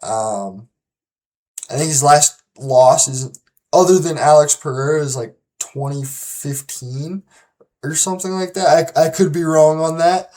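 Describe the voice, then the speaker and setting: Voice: mid-range voice, measured pace, a little mellow. A channel host giving casual solo commentary and predictions, talking loosely with frequent restarts and repeated words, and turning emphatic when making a call.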